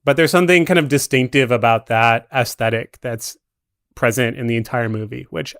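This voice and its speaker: A man's voice: mid-range voice, normal speed, fairly bright tone, dry recording, clean studio sound. A man talking casually as a podcast guest, musing about films with hesitant phrasing. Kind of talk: casual film discussion.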